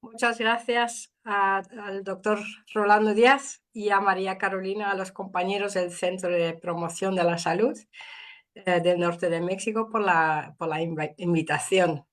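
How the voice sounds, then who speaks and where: Dutch woman giving a halting lecture. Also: mid-range voice, fairly even intonation. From Netherlands. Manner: careful, halting, with frequent fillers. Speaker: invited speaker. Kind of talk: academic talk.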